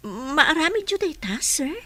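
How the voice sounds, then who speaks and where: Radio serial role: a respectful, anxious mother, slightly high voice, practical and sincere. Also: sing-song, a little slow, pushed and bright, exaggerated voice. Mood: worried. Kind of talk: Ilocano radio drama acting.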